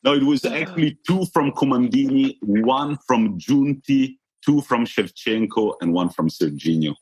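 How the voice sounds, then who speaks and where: A man's voice: medium pitch, animated, unhurried, a little mellow, breathy edge, poor audio. A man co-hosting a casual podcast who asks guests questions and teases his co-host.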